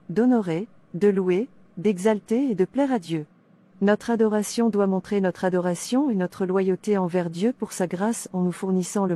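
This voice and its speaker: Woman acting devout female preacher, cartoon narration, mellow tone, mid pitch, solemn, didactic. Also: lightly acted. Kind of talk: scripted religious narration and cartoon dialogue.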